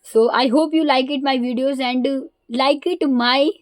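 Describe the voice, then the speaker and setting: A woman with an Indian accent, a Hindi native speaker, talking casually in halting learner English mixed with Hindi. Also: high-pitched, fairly even intonation, dry recording, clean studio sound. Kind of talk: casual vlog.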